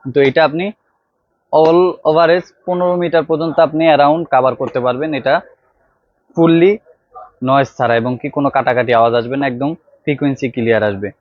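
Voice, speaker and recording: A man with a Bangladeshi Bengali accent, a shop salesman showing products to viewers in a loose, repetitive sales pitch full of filler sounds, on a clip-on mic. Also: brisk, long pauses, no room sound, lo-fi sound. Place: computer accessories shop. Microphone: clip-on tie microphone.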